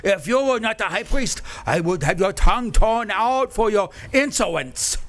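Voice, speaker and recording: Guy playing a gloaty evil space emperor, high voice that swoops around a lot, community radio play. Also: lively intonation, moderate pace, fairly bright tone. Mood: gloating. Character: haughty cruel male space emperor. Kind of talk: live amateur radio play.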